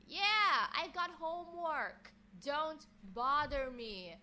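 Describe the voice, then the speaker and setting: A woman's voice: high-pitched, very animated, slow pace, pushed and bright, full character voice. A woman playing an irritable teenage girl in an audio drama, curt and dismissive. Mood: sullen. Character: sullen, irritable teenage girl snapping at family.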